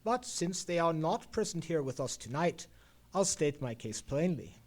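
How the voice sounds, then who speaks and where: A man's voice: fairly high pitch, very animated, moderate pace, a little mellow. An actor playing an erudite, world-weary male detective in an old-time radio play, with a pedantic and eloquent manner. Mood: pedantic, absorbed. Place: live performance in a theatre.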